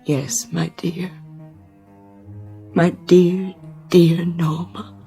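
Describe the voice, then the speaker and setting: An actress playing a gentle woman tending a patient in a radio drama, tender, hushed and reassuring. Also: on the low side, flat delivery, very slow, vocal fry, exaggerated voice. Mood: tender, soothing. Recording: music under the voice.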